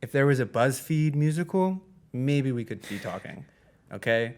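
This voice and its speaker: A man's voice: mid-range voice, expressive, moderate pace, a little mellow. A young man co-hosting a podcast, joking around and going off on mock rants on a small studio stage. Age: young adult. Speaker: podcast co-host. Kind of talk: casual chat. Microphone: handheld mic.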